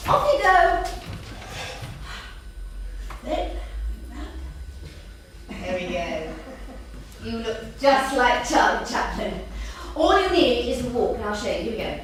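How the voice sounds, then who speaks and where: An actress plays a breezy, playful wife and mother. Her voice sits a bit high, and it comes through a very echoey room with clear noise behind it. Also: sing-song, slow pace, long pauses, distant mic. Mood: playful, coaxing. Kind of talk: staged script reading. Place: theatre, staged reading.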